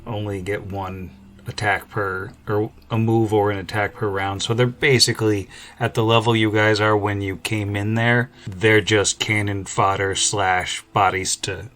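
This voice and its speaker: A man's voice: on the low side, level tone, a little slow, fairly bright tone. A man chatting casually as the game master on a podcast panel. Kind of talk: casual chat.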